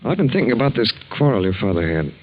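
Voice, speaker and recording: An actor playing a reassuring, businesslike young fiancé with a husky voice in 1940s radio drama style. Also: male voice, lowish voice, big pitch swings, fast. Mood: urgent, practical. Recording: dull vintage 1940s radio recording.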